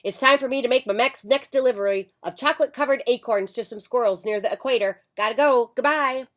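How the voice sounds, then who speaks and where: A woman's voice: on the high side, quick pace, fairly bright tone, pitched above the performer's own voice, lightly acted. A woman voicing a small, busy male delivery man telling of his rounds. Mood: brisk.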